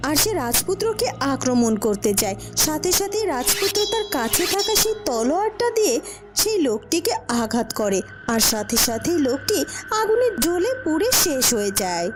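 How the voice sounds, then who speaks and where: A woman's voice: high voice, big pitch swings, moderate pace, over music. A woman giving scripted narration for a cartoon story.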